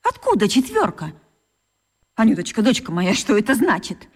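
Cast: a strict, worried mom in a radio play, slightly high and insistent. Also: female voice, wildly swooping pitch, normal speed, slightly rough, full character voice. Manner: insistent, anxious. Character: worried, strict mother questioning her daughter.

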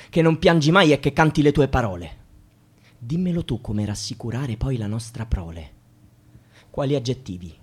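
An actor playing a despairing student who prays in vain, in a declamatory, poetic radio drama monologue that moves between hushed and shouted. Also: male voice, high-pitched. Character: despairing student trapped in a system, praying in vain.

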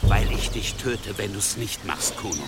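An actor playing a menacing, commanding leader of medieval horsemen in an audio drama, imperious and threatening. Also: male voice, fairly low pitch, animated, a little slow, creaky, very noisy. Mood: cold threat. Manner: imperious, threatening, barking orders.

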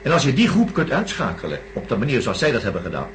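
Earnest doctor explaining his theory, very lively pitch, noisy recording. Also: quick pace. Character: patient, reasoning male doctor explaining a theory. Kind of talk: radio drama acting. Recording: noisy radio play recording.

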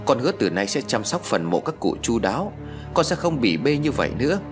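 Man voicing a hot-tempered old village wife, animated. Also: medium pitch, a little fast, music in the background. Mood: distraught, pleading. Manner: emotional, rustic scolding and lamenting.

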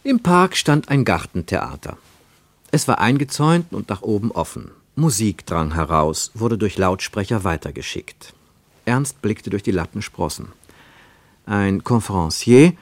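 A male narrator with a fairly low voice reads literary prose for a radio drama. He is measured and expressive, setting deliberate pauses between phrases. The recording is very dry, with no room around the voice. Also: creaky, no echo.

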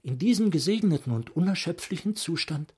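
An actor in a radio play playing a philosophical, probing psychiatrist with an eloquent, rhetorical manner. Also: male voice, a little high, animated, a little fast, darkish tone, slightly rough. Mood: musing, intense. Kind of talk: radio drama acting, literary monologue.

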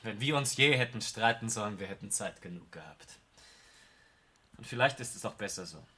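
An actor playing an embittered, restless war veteran, emphatic and formal, in a radio play. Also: male voice, lowish voice, animated, normal speed, bright, forceful tone, slight background noise. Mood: wistful, apologetic. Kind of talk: German radio play acting.

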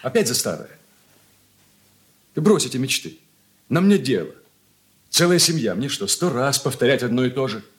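A weary young merchant's son from a Soviet radio play. His voice is husky and very animated in pitch, moving between impatient dismissal and pleading. He sounds irritable and evasive. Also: moderate pace, pauses often.

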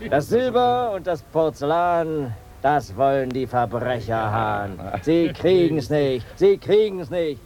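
A man's voice: a little high, sing-song. An actor playing a boisterous, tipsy village man declaiming homemade comic verse in a German radio drama. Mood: defiant, rowdy. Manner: drawn-out, declamatory. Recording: radio play with crowd noise behind. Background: crowd of companions.

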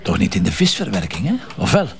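An actor with a Flemish accent playing a curt, impatient police commissioner who questions and commands in a radio detective drama. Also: male voice, low voice, big pitch swings, fast, vocal fry, heavily acted. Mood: questioning. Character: brusque, probing, impatient middle-aged police commissioner.

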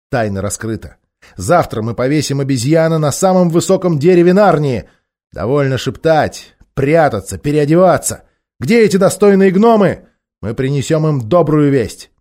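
A man's voice: on the low side, normal speed, a little mellow, close to an everyday voice. A man voicing a proud, stern warrior king in a children's fantasy story, declaiming in a commanding manner. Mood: triumphant, rousing. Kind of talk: children's fantasy audiobook character.

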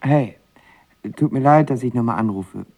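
A man's voice: medium pitch, normal speed, background noise, lightly acted. An actor playing a lovesick, volatile young man in an audio drama, talking in a colloquial, sarcastic, self-deprecating way. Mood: pleading, dejected. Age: young adult. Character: lovesick, volatile young male actor narrating his first heartbreak. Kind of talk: audio drama acting with first-person narration.

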